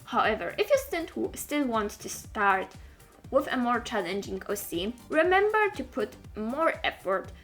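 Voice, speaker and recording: A woman narrates an informal explainer in a lightly high voice. Her pitch moves up and down a great deal as she stresses points and speaks straight to the listener. Soft music plays underneath. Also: very animated, unhurried. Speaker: video creator giving roleplaying advice.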